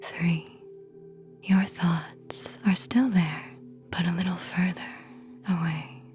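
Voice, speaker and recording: A woman guiding a whispered ASMR sleep meditation in a soothing, hypnotic manner, speaking in short phrases with long pauses. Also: fairly low pitch, steady tone, a little mellow, faint music behind.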